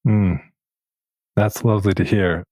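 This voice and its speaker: Deep-voiced man interviewing, very dry sound. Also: steady tone, a little slow, dark tone, no room sound. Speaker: podcast interviewer. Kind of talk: reflective conversational interview.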